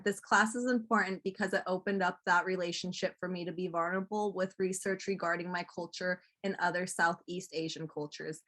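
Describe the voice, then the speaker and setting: Young woman hosting, slightly low voice. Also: a bit bright. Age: young adult. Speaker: student presenter and host. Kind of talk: student presentation, introducing speakers and reading quotes aloud.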